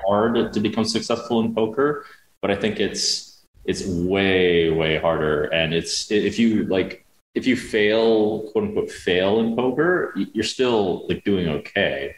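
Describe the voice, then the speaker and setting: A man hosting an interview, talking loosely and haltingly with fillers and restarts. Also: lowish voice, reverberant, poor audio. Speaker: podcast host. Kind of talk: casual interview conversation.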